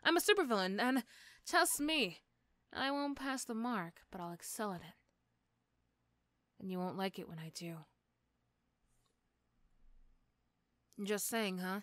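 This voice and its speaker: A woman plays a female supervillain for an ASMR roleplay, threatening and teasing in the same breath. She is sardonic and begrudgingly fond, and the sound is dry and close, at a medium pitch. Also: moderate pace, pauses often, a bit bright, no echo, lightly acted. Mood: amused threat.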